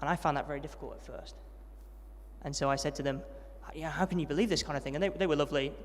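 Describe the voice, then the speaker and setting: A young man recounts his upbringing at a brisk pace and a slightly raised pitch. He is friendly and self-deprecating, with plenty of ums. His voice rings with the long reverb of a large hall. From Yorkshire, England. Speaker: interviewee, trainee vicar. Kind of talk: testimony interview at a student event.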